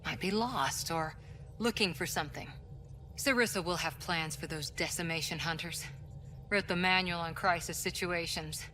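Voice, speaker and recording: A woman's voice: on the low side, normal speed, a little hiss or hum. A woman playing an earnest, capable soldier and respectful second-in-command in a video game. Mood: focused. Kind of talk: video game cutscene acting. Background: loud game music.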